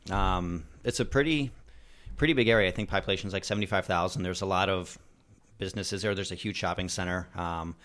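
A man with a low voice, a dentist guest on a podcast. He tells relaxed stories at a fast clip, with casual fillers. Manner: storytelling, relaxed.

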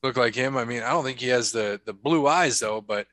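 A man's voice: mid-range voice, brisk, fairly bright tone, dry recording, clean studio sound. A man hosting a casual chat, rambling through stories and laughing often. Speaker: podcast host.

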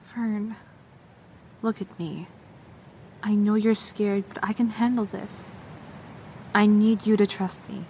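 A woman's voice: medium pitch, fairly even intonation, slow pace, long pauses, warm tone, a little hiss or hum, poor audio. A woman playing a tough, protective young survivor with an intense, earnest manner in an audio drama. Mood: softened, protective.

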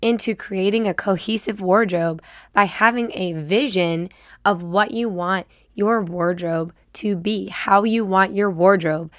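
Woman podcast host, cold-roughened raspy voice, encouraging, dry close sound. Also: medium pitch, a little slow, no room sound. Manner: upbeat, chatty, encouraging. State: has a cold, slightly raspy. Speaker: podcast host and style coach. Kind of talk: casual faith-based podcast talk.

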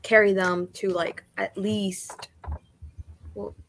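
A woman's voice: medium pitch, level tone, takes it slowly, a little hiss or hum. A woman chatting casually on a podcast video call, hesitant and full of fillers. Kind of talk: casual chat.

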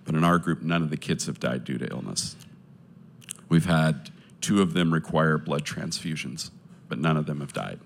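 A man with a low voice speaking earnestly to a live audience, sharing his testimony and appealing for support, with many pauses and restarts. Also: gravelly, a little hiss or hum.

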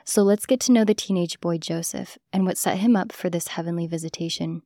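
Low, mellow woman narrating. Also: fairly quick, no echo, clean studio sound. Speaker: podcast host. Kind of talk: scripted podcast narration.

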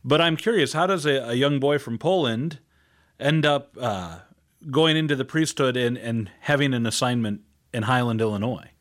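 Male radio interviewer, medium pitch. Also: moderate pace.

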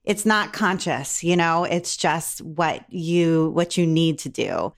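A woman's voice: low-pitched, expressive, takes it slowly. A woman from Maryland hosting a podcast, asking questions in a friendly, affirming way. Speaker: podcast host. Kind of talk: conversational interview.

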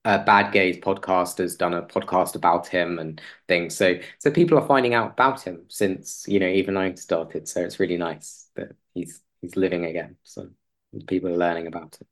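A man speaking as an interview guest in a conversational way, hesitant and halting, often rephrasing as he goes. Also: low voice, steady tone, fairly bright tone.

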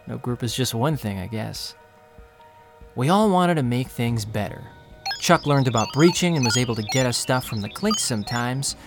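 A man acting the narrator of an audio drama, an earnest, self-doubting young man from an undercity slum, speaking in a halting, confessional, emotional way. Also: mid-range voice, natural voice. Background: music bed.

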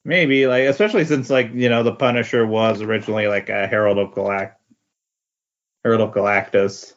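A man's voice: fairly low pitch, steady tone, fairly quick. Tentative man chatting, dull recording. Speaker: podcast guest. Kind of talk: casual chat.

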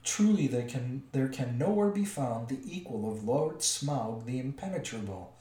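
A man doing a timid, squeaky little hobbit. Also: lively intonation, normal speed, dark tone, far from the mic, natural voice. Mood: flattering. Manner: polite, anxious, squeaky. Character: small timid male hobbit, nervously flattering. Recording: echoey room, voice set back from mic.

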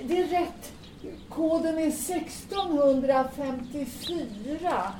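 Actress as older Swedish-drama mother, high-pitched, kindly and rambling, recorded in a noisy, echoey space. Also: lively intonation, mellow. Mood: chatty, proud. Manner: repetitive, rambling, questioning. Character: chatty, kindly, cautious older mother living in the building. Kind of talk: naturalistic audio drama acting. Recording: echoey, distant, noisy with birds.

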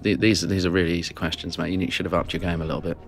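A co-host with a very low voice and a gravelly edge. He talks in a laid-back, playfully cocksure way, and a little music plays beneath him. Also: flat delivery, very fast. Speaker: radio co-host. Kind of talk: comedic radio chat.

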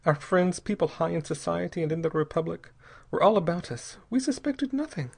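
Man voicing a breathy, high-pitched, despairing young woman. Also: expressive, full character voice. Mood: anguished recounting. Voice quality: breathy, gasping. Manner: halting, pleading delivery with repeated words. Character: terrified, despairing young married woman, pleading and broken.

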